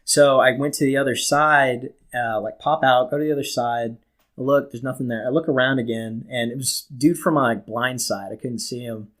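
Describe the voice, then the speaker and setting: A man's voice: medium pitch, fairly even intonation, normal speed, fairly bright tone. A young American man talks in a loose, rambling way, like a podcast co-host spinning a story for a friend. He swears freely and keeps things comic. He slips into quoted dialogue as he tells it. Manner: rambling, acts out quoted dialogue, laughs at his own stories. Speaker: podcast co-host telling stories. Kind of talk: casual, profane comic storytelling.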